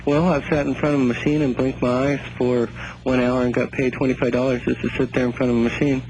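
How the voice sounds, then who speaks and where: A man with a medium-pitched voice chats casually as a radio guest. He is matter-of-fact, and the sound is dull with faint noise. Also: flat delivery, fast, a little mellow. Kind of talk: casual chat.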